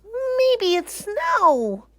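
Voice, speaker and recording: A woman voicing a gentle, wondering, childlike male toy bear from a storybook, with wide-eyed, dreamy delivery. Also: extremely high pitch, very animated, drawn-out words, dry recording, pitched above the performer's own voice, exaggerated voice. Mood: excited delight.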